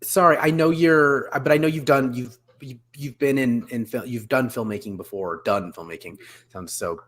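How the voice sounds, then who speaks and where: A man in his forties hosting a casual panel discussion over a video call, talking in a halting, meandering way and often correcting himself. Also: medium pitch, a bit bright. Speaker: host. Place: small room at home, video call.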